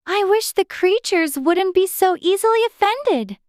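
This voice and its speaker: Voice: extremely high pitch, expressive, a little slow, no room sound, studio quality, pitched above the performer's own voice. A narrator voicing a polite, plaintive little girl, earnest and easily flustered, in a dramatized storybook. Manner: earnest, exclaiming, proper.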